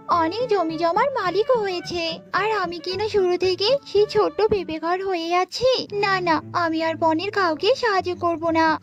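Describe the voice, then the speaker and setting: A woman's voice: extremely high pitch, highs cut off. A woman voicing an arrogant, greedy talking house in a children's cartoon, scolding and bossy. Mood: boastful. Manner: haughty, scolding, insulting.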